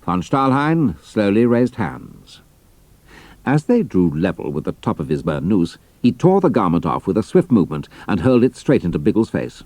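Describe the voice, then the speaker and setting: Low, animated British male storyteller. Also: moderate pace, no room sound. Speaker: narrator. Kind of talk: scripted dramatic storytelling narration.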